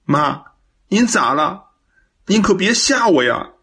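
A man playing a guilty, devoted young son in a radio drama, earnest, halting and pleading. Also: high-pitched, big pitch swings, a bit bright, breathy edge, full character voice. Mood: alarmed. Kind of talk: Chinese radio drama acting.

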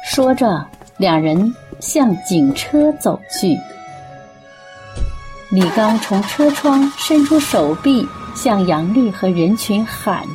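A woman narrating a radio drama in a measured, expressive, scripted storytelling style. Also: medium pitch, music in the background.

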